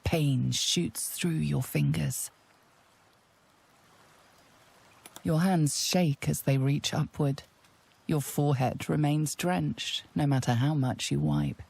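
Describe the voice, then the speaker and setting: An actress as a solemn, ominous storyteller, narrating a dark fantasy game in a measured, intimate way. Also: female voice, low voice, steady tone, vocal fry, breathy edge, full character voice. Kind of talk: scripted second-person dramatic narration.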